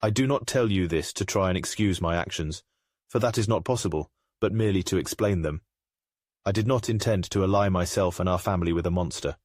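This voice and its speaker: A man playing a grave, remorseful aristocratic father in a comic dub, speaking in a formal, measured way. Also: sits low, darkish tone, dry recording, clean studio sound. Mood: solemn, remorseful.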